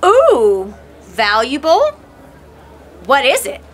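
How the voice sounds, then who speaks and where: An actress playing a scheming middle-aged flight attendant who sounds falsely sweet. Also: female voice, high voice, huge pitch swings, very slow, a little hiss or hum, full character voice. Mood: sly. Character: scheming thieving female flight attendant, sly. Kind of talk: scripted comedy sketch acting.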